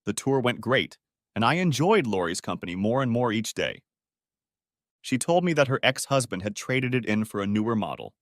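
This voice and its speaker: A man reads a scripted first-person story. His pitch sits in the middle range and moves in a lively way. The sound is close and dry, with no room around it. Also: dry recording.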